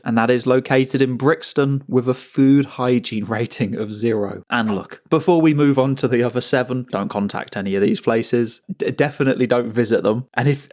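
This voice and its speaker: A man hosting his own channel, talking slowly through an unscripted commentary with asides and frequent restarts. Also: mid-range voice, a little mellow. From England. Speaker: channel host.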